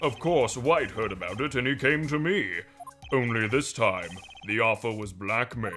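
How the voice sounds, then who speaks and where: A man's voice: mid-range voice, moderate pace, natural voice. A man playing a weary, pompous older lawyer in a game fandub, formal and theatrical, weighed down by guilt. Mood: confessional. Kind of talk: visual novel game fandub acting. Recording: music behind the voice.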